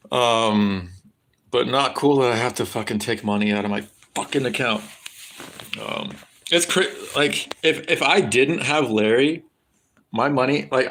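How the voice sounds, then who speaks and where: Bright-voiced American man streaming. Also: mid-range voice. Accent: standard American. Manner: loose and rambling, swears freely, many fillers. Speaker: live streamer. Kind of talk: casual rambling chat with viewers.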